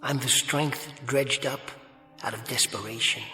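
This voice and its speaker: An actor playing an eloquent, sardonic spirit of fate in a radio drama, speaking in grandiose, wordy phrases. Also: male voice, medium pitch, fairly even intonation, unhurried, bright, forceful tone. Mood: lofty, grave. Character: eloquent, mocking male spirit of fate and conscience. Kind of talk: vintage-style radio drama acting. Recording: reverberant hall-like echo effect, faint music.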